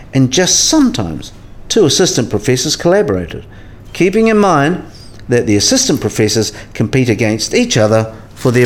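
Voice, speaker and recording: A man playing a cynical, sidelined professor in a radio play, explaining things in a wry, didactic way with measured phrasing. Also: medium pitch, natural voice. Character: cynical, sidelined male professor giving career advice.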